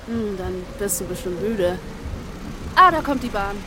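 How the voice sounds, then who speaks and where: A woman's voice: medium pitch, expressive, unhurried, lightly acted. An actress playing an odd, pushy and needy young female student in a radio drama, abrupt and searching in her speech. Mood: insistent, curious. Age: young adult. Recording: clearly noisy rainy street ambience.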